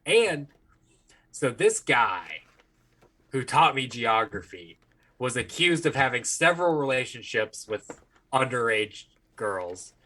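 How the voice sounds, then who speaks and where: An American man with a bright, punchy voice at a medium pitch. He talks as a podcast co-host, casual and blunt, telling crude, joking stories. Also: sing-song, takes it slowly. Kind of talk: casual chat, profane storytelling.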